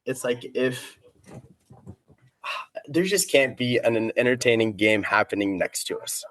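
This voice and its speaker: A man's voice: medium pitch, unhurried, a bit bright, no room sound, low-quality recording. A man chatting casually as a guest, easygoing and slangy, rambling as he goes. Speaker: interview guest, competitive cornhole player. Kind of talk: casual chat.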